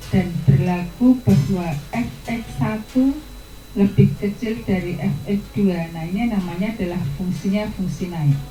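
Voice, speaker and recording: A woman teaching a math lesson, walking students through each idea step by step. Her voice is mellow and relaxed, recorded in a roomy, echoey space with soft guitar music playing faintly behind her. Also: medium pitch. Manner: patient, step-by-step explanation to students.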